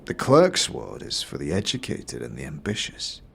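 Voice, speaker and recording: Man playing a snobbish, husky-voiced fantasy moneylender. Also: extremely low pitch, huge pitch swings, a bit bright, voice pushed down, full character voice. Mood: disdainful. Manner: condescending, drawn-out, measured delivery. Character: disdainful, calculating male moneylender, smug and snobbish. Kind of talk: fantasy game audio series acting.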